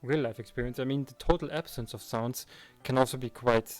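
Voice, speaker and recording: A man's voice: mid-range voice, fairly even intonation, fairly quick, faint music behind. A man hosting a let's play, reading a visual novel and chatting casually in a conversational way. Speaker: let's play host, narrator and reader.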